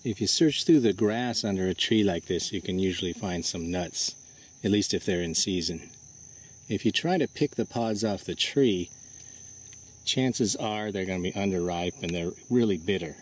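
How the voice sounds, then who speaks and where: A man vlogging outdoors under trees, talking in a relaxed, explanatory way to his handheld camera. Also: low voice, moderate pace. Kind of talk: casual outdoor show-and-tell. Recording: faint insect and cricket noise.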